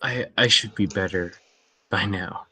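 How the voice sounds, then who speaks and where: A man playing a troubled, vulnerable man haunted by nightmares, halting and subdued, in a script table read. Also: sits low, sharp tone, slight background noise, full character voice. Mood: troubled, weary.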